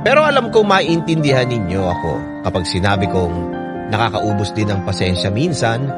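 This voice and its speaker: An actor playing a lovelorn young letter writer who narrates a radio drama in an expressive, confiding way, with a Filipino accent. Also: male voice, lowish voice, moderate pace, loud music behind the voice. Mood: wistful, frustrated.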